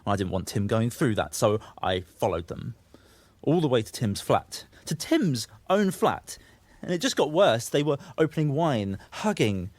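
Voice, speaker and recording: A troubled young man in an audio drama, defensive and confessional, prone to swearing. His mid-pitched voice swings widely as he justifies himself, recorded close and dry. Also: very animated, normal speed, a little mellow, no echo. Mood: bitter recounting. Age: young adult. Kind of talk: naturalistic audio drama dialogue.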